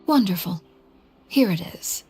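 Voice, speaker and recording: A woman playing a calculating, condescending commander in a video game, speaking in a formal, measured and composed way. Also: mid-range voice, lively intonation, dark tone, natural voice. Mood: calm, calculating. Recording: background music behind the voice.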